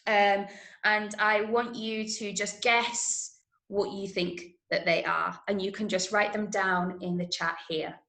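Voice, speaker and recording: A woman's voice: medium pitch, level tone, takes it slowly, a bit bright. Englishwoman teaching in an echoey room. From Manchester, England. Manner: encouraging, praising, checking understanding. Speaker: drama workshop teacher. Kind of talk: interactive online lesson. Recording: roomy, echoing online class audio.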